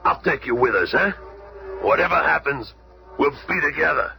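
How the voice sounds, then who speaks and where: An actor playing a gruff, wisecracking brawler superhero with a gravelly voice and blunt slang in a radio drama. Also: male voice, fairly high pitch, animated, moderate pace, bright, forceful tone, soft background music, heavily acted. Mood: gruff, cocky. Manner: blunt working-class slang, blustering. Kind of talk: 1970s radio drama acting. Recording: very noisy old 1970s radio recording.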